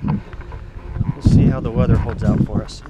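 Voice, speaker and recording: A man's voice: lowish voice, little pitch movement, unhurried, heavy background noise. A man talking casually in a matter-of-fact, offhand way, giving short video-log updates as an amateur radio operator.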